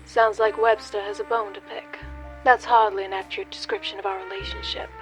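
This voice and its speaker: A woman's voice: medium pitch, flat delivery, a little fast, soft background music, natural voice, low-quality recording. A woman playing a mocking, teasing femme fatale in a comic noir radio play. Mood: sly, sardonic. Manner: teasing, sardonic, theatrical.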